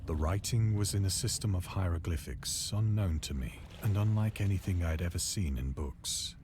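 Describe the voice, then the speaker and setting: A man playing a haunted, awestruck narrator who recounts an ancient horror in solemn, formal, deliberate literary prose. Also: low voice, noisy background, lightly acted. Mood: solemn dread.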